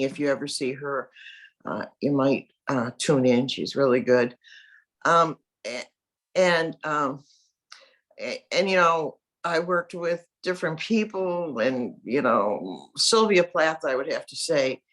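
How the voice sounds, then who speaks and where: A woman's voice: very deep voice, fairly bright tone. An older woman poet chatting about her influences, rambling with many fillers. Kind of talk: panel discussion after a reading.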